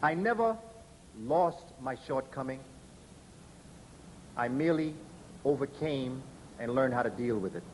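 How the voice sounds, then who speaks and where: A man giving a lecture to an audience, speaking deliberately with pauses and stressed words. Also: medium pitch, lively intonation, slight background noise, rough recording. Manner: spells words out letter by letter.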